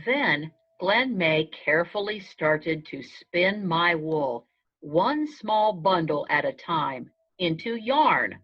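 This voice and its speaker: A woman narrating a children's storybook aloud in the first person, in a measured storytelling manner. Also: fairly low pitch, sing-song, breathy edge. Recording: dull, lo-fi sound.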